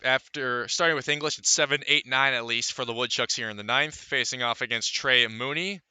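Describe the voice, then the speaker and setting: A man giving live radio play-by-play of a baseball game, calling it in measured, deliberate phrases with pauses. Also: medium pitch, bright, forceful tone, clean tone, no echo. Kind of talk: live radio sports commentary.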